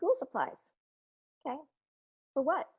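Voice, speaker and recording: A woman's voice: high-pitched, big pitch swings, measured pace, phone-quality sound, background noise. A woman playing a friendly, attentive suburban mother in a sitcom table read. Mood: cheerful, curious. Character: friendly, attentive suburban mom of a teenage girl.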